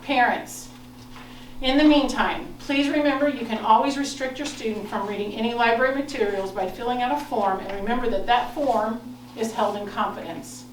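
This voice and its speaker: An American woman reading a prepared statement as a board president, in a formal, measured, firm manner. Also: fairly high pitch. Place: indoor public board meeting. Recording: echoey meeting room, distant mic, noise.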